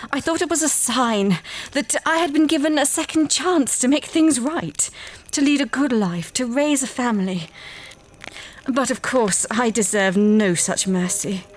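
An actress playing a kind, solemn dying village woman haunted by regret, speaking in a grave, measured way for an audio drama. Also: high-pitched, animated. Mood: regretful, confessional.

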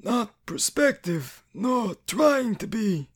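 An actor playing a grave older male guardsmith boss in a fantasy radio drama, husky, formal and deliberate with long pauses. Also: mid-range voice, very animated, heavily acted. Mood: grave, measured. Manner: formal, deliberate, pausing between phrases.

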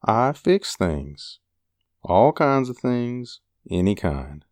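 A weary drifter man, acted out by a woman audiobook reader, low and halting, with a dry, close sound. He is a hungry, proud handyman, vague in manner, and his pitch moves up and down freely. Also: sing-song, darkish tone, no room sound, heavily acted. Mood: earnest, weary.

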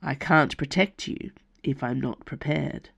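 A woman voicing a sullen male bodyguard who speaks curtly and deadpan. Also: deep voice, unhurried, vocal fry, slightly rough, no echo, clean studio sound, full character voice. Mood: insistent. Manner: curt, deadpan and insistent.

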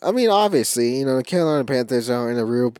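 A man's voice: medium pitch, animated, quick pace, darkish tone, smooth, no echo. A man with a stuffy, allergy-clogged voice co-hosts a sports podcast in a loose, rambling way. He jokes around and often restarts his sentences. The sound is close and dry, with no room around it. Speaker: podcast co-host. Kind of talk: casual sports chat.

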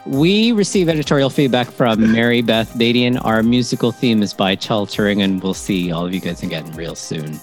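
A man's voice: fairly low pitch, animated, normal speed, hardly any pauses. Agreeable male podcast co-host, music behind. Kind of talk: casual podcast chat.

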